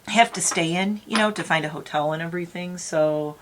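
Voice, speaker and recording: Middle-aged female beauty vlogger, lowish voice with a touch of morning rasp, rambling casual chat. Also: fairly quick, a bit bright, slight background noise. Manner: rambling, chatty, talks straight to viewers. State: slightly raspy morning voice. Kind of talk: casual makeup tutorial chat.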